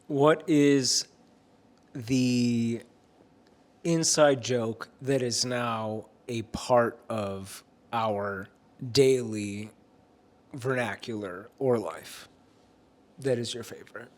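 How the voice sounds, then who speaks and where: Slow-talking chatty man vlogger. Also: mid-range voice. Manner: chatty, joking, swears casually. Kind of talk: casual chat.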